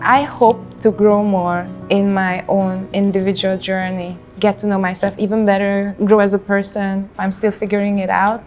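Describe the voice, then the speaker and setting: A woman's voice: medium pitch, flat delivery, moderate pace, few pauses, darkish tone. A woman in her thirties with a Hungarian accent, speaking reflectively and unhurriedly in a personal interview. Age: 30s. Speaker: interviewee in a portrait documentary. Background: background music.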